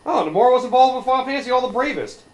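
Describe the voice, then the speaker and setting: A man giving casual, excitable let's play commentary, swearing freely. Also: very high-pitched, rapid-fire, roomy sound. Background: game music.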